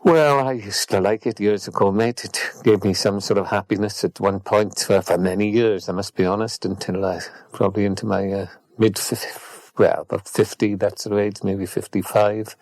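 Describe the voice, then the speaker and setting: Mournful older caller, played by a man, deep-voiced and deadpan, for radio comedy. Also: normal speed, few pauses, no room sound, lightly acted, rough recording. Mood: glum. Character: glum, frank older male phone-in caller. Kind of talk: comic phone-in chat.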